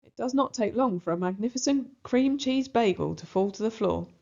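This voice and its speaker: A woman's voice: a little high, animated, normal speed, vocal fry, warm tone. Woman reading a children's story, slightly muffled. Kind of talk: expressive storybook read-aloud. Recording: slightly dull, muffled recording.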